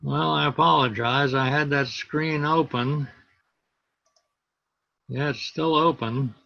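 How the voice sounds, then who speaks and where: A man teaching an online class over a laptop video call, explaining step by step and pausing to look for things on his screen. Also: fairly high pitch, steady tone, vocal fry, highs cut off. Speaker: instructor.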